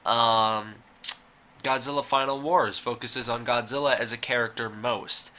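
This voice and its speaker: A young man with a very bright, sharp voice rambles through his opinions in a casual video commentary. He is fighting a cold and fills his sentences with "uh" and "like". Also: mid-range voice. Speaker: YouTuber.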